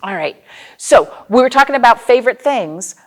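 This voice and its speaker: A woman's voice: fairly high pitch, lively intonation, moderate pace. A woman hosting a storytime for young children as a librarian, speaking expressively and putting questions to her young listeners.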